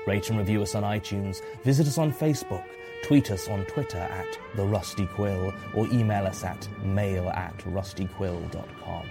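Guy doing podcast end credits, lowish voice, music going behind him. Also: moderate pace, creaky, few pauses. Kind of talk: scripted credits read.

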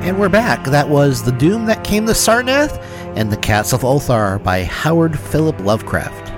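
Higher-pitched male host over music. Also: very animated, normal speed. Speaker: show host. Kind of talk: scripted presenting between segments.

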